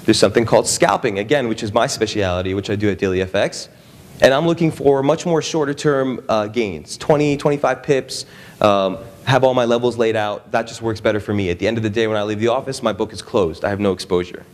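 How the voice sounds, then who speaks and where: A man's voice: fairly low pitch, brisk. Conversational man giving a seminar talk. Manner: conversational, frequent "right?" and "okay?" check-ins with the audience. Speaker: trading strategist presenting at an expo. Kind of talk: live seminar talk to an audience. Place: expo seminar room.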